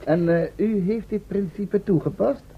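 An actor in a Dutch radio play playing an eager, inquisitive listener who follows along. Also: male voice, sits high, a little slow, slightly rough, heavily acted. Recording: dull old radio recording.

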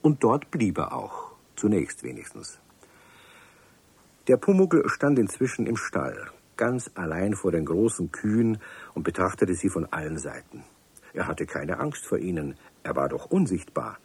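A man narrating a children's radio play in a calm, expressive storytelling manner. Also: low voice, unhurried, gravelly, slightly rough, no room sound.

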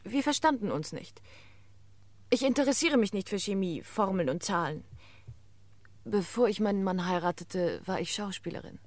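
An actress playing an unhappy, detached widow in a radio crime play, speaking formally and with measured wistfulness. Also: female voice, mid-range voice, lively intonation, a little mellow, no room sound, lightly acted. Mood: wistful, resigned.

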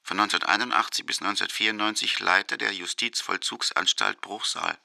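Man, documentary narrator, lowish gravelly voice, scripted delivery, dry close studio sound. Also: fairly even intonation, quick pace, pushed and bright, no echo.

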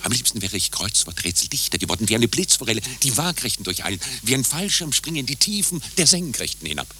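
A man's voice: mid-range voice, sing-song, fast, pushed and bright, a little hiss or hum. A man in a radio play acting a grumpy head waiter with a theatrical passion for crosswords. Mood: rapturous.

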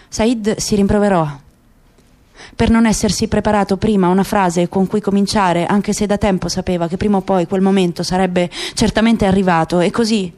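A woman's voice: medium pitch, darkish tone. A woman gives a dramatic literary reading as narrator in a radio play. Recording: clear background noise with clicks.

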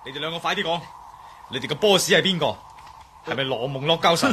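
A man's voice: on the high side, fast, bright, forceful tone. A man acting an alert, suspicious, decisive adventurer hero in a Cantonese radio drama, with an urgent, commanding manner. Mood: stern. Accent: Cantonese, Hong Kong. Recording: faint background noise.

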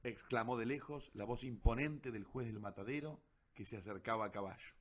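A male narrator with a mid-pitched voice tells the story of a radio drama. The delivery is scripted and dramatic. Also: brisk.

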